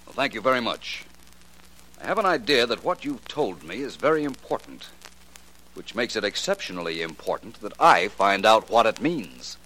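Dry ironic gentleman detective, low voice, lively pitch, 1940s radio acting. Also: moderate pace, background noise. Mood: urbane. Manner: dry, precise, faintly ironic. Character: cool, urbane gentleman detective.

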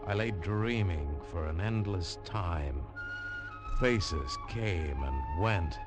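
A man's voice: low voice, lively intonation, slow, loud music behind the voice. A man as hero and narrator of a 1950s radio adventure drama, telling the story in an earnest, urgent way.

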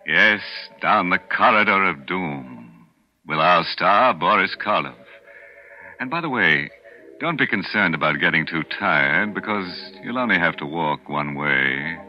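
An actor playing a ghoulish, punning horror show host, sardonic and tongue-in-cheek, in 1940s radio style. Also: male voice, low voice, animated, unhurried. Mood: gleefully macabre. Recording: muffled old radio recording with music.

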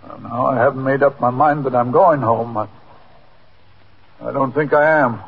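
Stubborn tired old dad in his 70s, husky plaintive voice, old muffled radio drama. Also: medium pitch, normal speed. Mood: weary, stubborn. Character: weary, stubborn old father feeling unwanted. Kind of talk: 1950s radio drama acting. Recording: muffled, narrow 1950s radio recording.

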